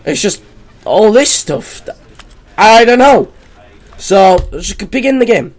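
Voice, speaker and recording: Young man, hoarse, high voice, rambling gameplay chatter, home computer mic. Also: animated, takes it slowly. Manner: rambling, chatty, full of fillers. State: hoarse, losing his voice. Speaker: gaming YouTuber. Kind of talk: casual gameplay commentary.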